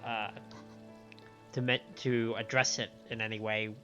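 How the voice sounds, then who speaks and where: A man hosting a game stream, reading story dialogue aloud in a measured way with small stumbles and adding his own commentary. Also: fairly low pitch, little pitch movement, bright, forceful tone, highs cut off. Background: game music.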